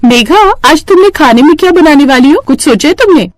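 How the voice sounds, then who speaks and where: Cartoon housewife employer, played by a female narrator: young, raised pitch, breezy, bossy yet friendly. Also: lively intonation, brisk, no room sound, faint music behind. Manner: chatty, bossy but friendly. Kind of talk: Hindi cartoon story dialogue.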